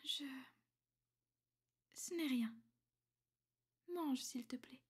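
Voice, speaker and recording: A woman playing a centuries-old vampire girlfriend in an ASMR roleplay, soft and intimate, often whispering, refined, tender and possessive. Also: sits high, drawn-out words. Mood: tender, protective.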